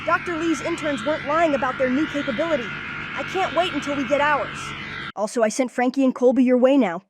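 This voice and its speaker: A woman's voice: sits high, fast talker, music in the background, close to an everyday voice. A woman voicing a bold, earnest young boy who leads his friends in a comic dub. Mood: upbeat.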